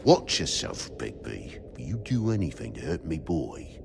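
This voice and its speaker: A man's voice: a bass voice, sing-song, a little slow, creaky, background noise, full character voice. An actor with a British accent voicing an irascible, protective toad father in a video game, blustering and indignant. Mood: menacing. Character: irascible, foul-mouthed toad father, protective of his son.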